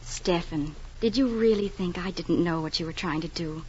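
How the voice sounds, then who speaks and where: An actress playing a steadfast, teasing, loving young wife in gentle, earnest 1940s radio drama style. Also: female voice, lowish voice, moderate pace, a little hiss or hum, close to an everyday voice. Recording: dull old 1940s radio broadcast.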